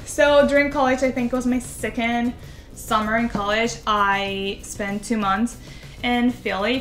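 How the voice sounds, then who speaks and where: A woman's voice: on the high side, a bit bright, roomy sound. A young woman in her twenties from Venezuela with a Venezuelan Spanish accent, chatting casually to camera in a rambling way with lots of "like". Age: 20s. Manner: chatty, rambling, heavy use of "like". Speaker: vlogger.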